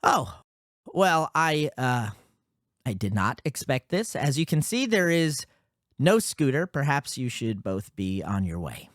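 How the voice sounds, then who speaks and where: Swooping, low-voiced officious security officer. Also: female voice, big pitch swings, no room sound, clean studio sound, exaggerated voice. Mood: grudging. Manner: sarcastic, drawn-out, theatrical. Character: suspicious, sarcastic, officious female security officer. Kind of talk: sci-fi radio drama acting.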